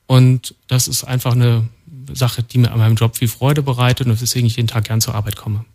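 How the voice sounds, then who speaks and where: Male guest from North Rhine-Westphalia, calm, matter-of-fact, mid-pitched. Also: a little fast. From North Rhine-Westphalia, Germany. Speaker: studio guest, department head. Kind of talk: radio interview answers. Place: radio studio.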